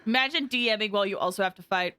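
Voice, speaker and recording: A woman game streamer giving casual live commentary in an excitable, expressive, giggly way. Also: medium pitch, fast, clean tone, no echo.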